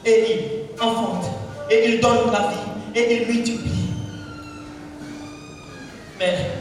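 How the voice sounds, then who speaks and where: High-voiced man declaiming poetry in an echoey hall. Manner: declamatory, repetitive, leads the crowd in call-and-response. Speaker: slam poet. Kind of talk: spoken-word poetry performance. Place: large indoor hall at a cultural event. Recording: echoey hall, mic far off, music behind.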